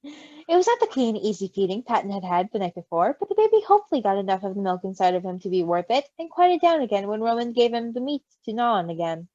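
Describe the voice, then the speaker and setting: A woman reading a story aloud in an animated style, with casual asides, on a slightly dull recording. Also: mid-range voice, fast, dry recording. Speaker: story reader.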